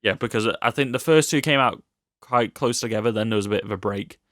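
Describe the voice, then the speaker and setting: Low-voiced man, casual podcast chat. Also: fast, a bit bright, no echo, clean studio sound. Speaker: podcast co-host. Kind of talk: casual film discussion.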